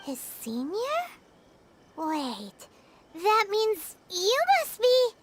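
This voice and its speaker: A woman's voice: sing-song, drawn-out words, background noise, full character voice. A woman voicing a small, perky, bossy fairy-like companion in a video game, with a childish, exclamatory delivery. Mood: curious. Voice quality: squeaky, childlike. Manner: cutesy, exclamatory. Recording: game music behind the voice.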